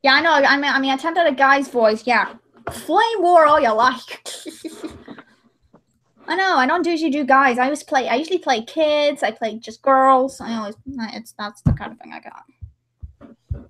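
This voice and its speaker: High-pitched woman chatting on a livestream. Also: moderate pace, pauses often, fairly bright tone. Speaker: livestream host and hobby voice actress. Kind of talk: casual livestream chat.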